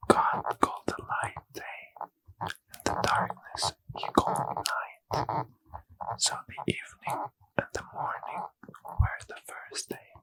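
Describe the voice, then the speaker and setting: Dude doing asmr bible whispers, super raspy and gravelly, swoops around a lot. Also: male voice, medium pitch, pushed and bright, rough recording. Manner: slow, breathy unvoiced whisper. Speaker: host. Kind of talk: ASMR scripture reading.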